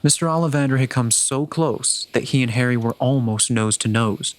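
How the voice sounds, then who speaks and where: Man narrating a story, mid-pitched. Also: normal speed.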